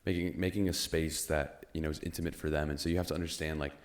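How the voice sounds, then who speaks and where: Very deep-voiced young podcast host. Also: male voice, steady tone. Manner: reflective, halting, many restarts and "you know". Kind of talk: casual chat.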